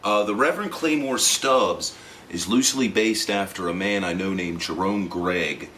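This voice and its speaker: A man answering documentary interview questions as a comic book artist, speaking in a halting but emphatic way. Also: deep voice, bright, forceful tone. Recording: faint background noise.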